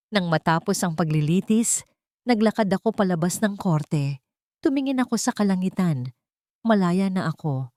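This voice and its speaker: Low-voiced woman narrating a dramatic story, dry sound. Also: darkish tone, no room sound. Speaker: audiobook narrator. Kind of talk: dramatic first-person scripted story narration.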